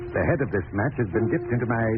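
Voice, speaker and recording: A man's voice: mid-range voice, animated, fast, loud music behind the voice, natural voice. Man playing a suave villainous chemist, swooping intonation, polite menace, old radio drama, thin noisy sound. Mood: cool, gloating. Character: smooth, sinister male criminal chemist, coolly plotting murder. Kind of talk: 1940s radio acting. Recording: noisy, tinny vintage radio recording.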